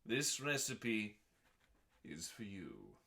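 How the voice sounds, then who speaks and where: A man giving a dramatic, mock-serious scripted reading as a host, pompous, with long pauses and drawn-out emphasis on words. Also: fairly low pitch, huge pitch swings, gravelly, pushed and bright. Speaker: host reading aloud from a cookbook.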